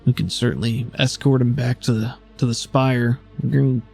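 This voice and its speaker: Casting: male game master as laid-back city regulator, practical, hesitant, gravelly mid voice, faint music behind. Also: medium pitch, steady tone, moderate pace, darkish tone. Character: matter-of-fact law regulator, unhurried, mildly unsure.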